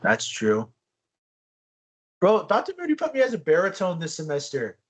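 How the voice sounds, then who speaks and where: A young man co-hosting a casual podcast, telling stories in a rambling, animated way with frequent restarts. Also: high-pitched, normal speed. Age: young adult. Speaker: podcast co-host. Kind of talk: casual chat.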